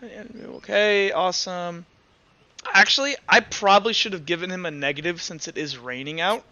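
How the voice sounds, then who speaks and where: Bright, high-voiced male game master. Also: muffled recording. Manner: halting, informal, sweary. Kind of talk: casual improvised tabletop game narration.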